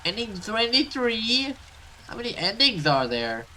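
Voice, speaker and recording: A man's voice: sits high, very animated, unhurried, sharp tone, a little hiss or hum, low-quality recording. A man hosting a let's play, reading a horror visual novel aloud and stumbling over some words as he goes.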